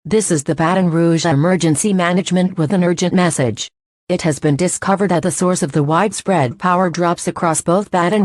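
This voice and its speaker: A synthetic female voice playing an emergency management announcer, reading an official message in a formal, measured way. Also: fairly low pitch, darkish tone, no echo, close to an everyday voice. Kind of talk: scripted emergency broadcast message.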